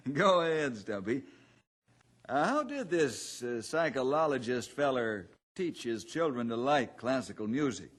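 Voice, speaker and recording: A man playing a steady, good-natured forest ranger, brisk and reassuring, in an old-time radio drama. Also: medium pitch. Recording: loud vehicle noise in old radio drama.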